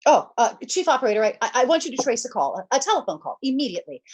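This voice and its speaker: Radio thriller role: panicky, imperious wife, played by a woman, high swooping husky voice, flustered and stammering. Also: very animated, very fast, a little mellow. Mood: anxious, insistent. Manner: stammering, breathless repetitions, indignant. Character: agitated, imperious married woman, demanding and panicky on telephone. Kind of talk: old-time radio thriller acting.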